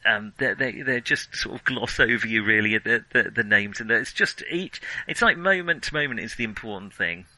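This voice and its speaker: A man with a strikingly bright, sharp voice on the lower side, recorded very dry. He talks casually about film as a podcast host, wandering through his thoughts in an amused, conversational way. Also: expressive, moderate pace, few pauses, no echo, rough recording.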